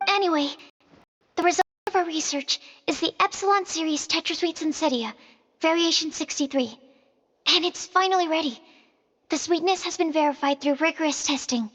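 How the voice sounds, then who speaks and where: A woman's voice: high voice, lightly acted. A woman voicing a shy, bookish young alchemist in an anime game, awkward, earnest and precise. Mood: flustered, explaining. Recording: muffled, game music behind.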